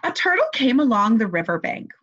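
A young woman, a teacher reading a children's story aloud in an animated way and asking her listeners questions. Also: a little high, a little fast. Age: young adult. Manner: animated, expressive. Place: small bedroom, indoors. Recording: slightly dull webcam audio.

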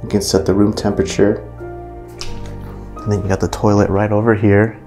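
A man's voice: lowish voice, little pitch movement, moderate pace, a little mellow, soft background music. A man casually narrating as he walks through an abandoned mansion, easily impressed and full of fillers, recorded on a camera mic indoors. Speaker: urban explorer vlogger.

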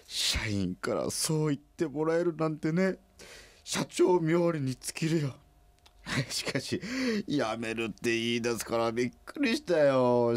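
Chuckling company boss, lively swooping voice. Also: male voice, mid-range voice, gravelly, heavily acted. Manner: chuckling, stammering, self-deprecating. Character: good-natured company president fond of lame puns. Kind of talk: radio drama acting.

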